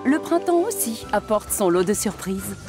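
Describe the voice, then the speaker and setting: Animated woman narrating a children's story. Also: medium pitch, moderate pace, over loud music.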